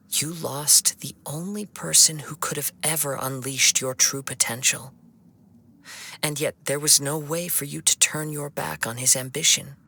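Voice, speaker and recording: A man playing a calm young wandering swordsman in a video game, speaking in a measured, formal, solemn and compassionate way. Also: mid-range voice, creaky, slight background noise, full character voice. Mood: grave, regretful.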